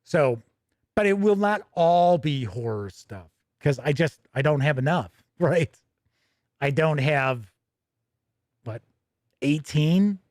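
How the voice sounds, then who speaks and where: A man's voice: a little high, animated, takes it slowly, long pauses, a little mellow, no echo, clean studio sound. A man hosting a casual livestream, talking in a rambling, conversational way and often interrupting himself as he goes. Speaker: livestream host. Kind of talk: casual livestream chat, answering viewer questions.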